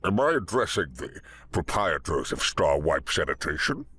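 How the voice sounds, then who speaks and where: A man doing a jittery amphibian curator who stammers and croaks out his words with a wet, husky rasp. The voice sits low but swoops widely up and down in alarm, over soft background music. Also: very animated, moderate pace, dry recording, exaggerated voice. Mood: nervous. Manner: stammering, anxious.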